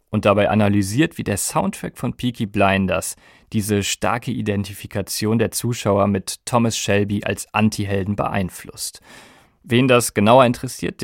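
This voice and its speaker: A man's voice: lowish voice, gravelly, no echo, studio quality. A man presenting a scripted podcast documentary in an explanatory way, speaking directly to listeners. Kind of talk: scripted music documentary narration.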